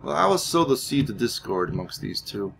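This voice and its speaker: A man's voice: mid-range voice, sing-song, a little fast, creaky, over loud music. A man giving gameplay commentary as roleplay, musing aloud in character. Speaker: gamer playing in character.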